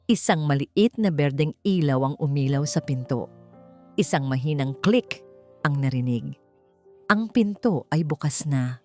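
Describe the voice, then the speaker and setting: Low-voiced Filipino woman narrating over music. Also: expressive, a little slow, darkish tone. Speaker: audiobook narrator. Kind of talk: dramatic scripted story narration. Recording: music bed under narration. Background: background music.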